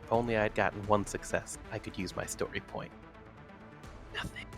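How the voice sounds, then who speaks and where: A man's voice: on the low side, steady tone, normal speed, fairly bright tone. A man chatting casually as a player in a tabletop roleplaying game. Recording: music behind. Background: music.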